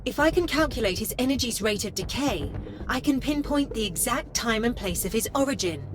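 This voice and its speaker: A woman playing a loyal AI assistant in a video game, polite, measured and dryly witty. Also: a little high, expressive, background noise, lightly acted. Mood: focused, brisk. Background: music.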